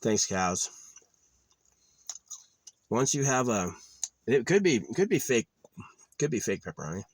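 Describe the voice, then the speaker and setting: A young man talking in a rambling, offhand way at a quiet outdoor campsite, often trailing off with filler. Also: mid-range voice, sing-song, unhurried, slightly rough, no echo. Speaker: vlogger. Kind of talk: rambling casual monologue.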